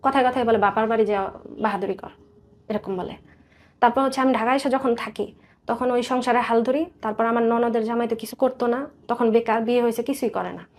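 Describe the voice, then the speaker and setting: Higher-voiced Bangladeshi woman telling her story. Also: steady tone, brisk, a little mellow. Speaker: guest telling life story. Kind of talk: personal storytelling in a radio interview.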